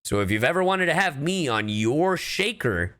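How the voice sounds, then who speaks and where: A man livestreaming a game, chatting casually and goofily with his audience in an animated way. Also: a little high, moderate pace. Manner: animated, goofy, addresses chat directly. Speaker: livestreamer, VTuber. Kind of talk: casual livestream chat while gaming.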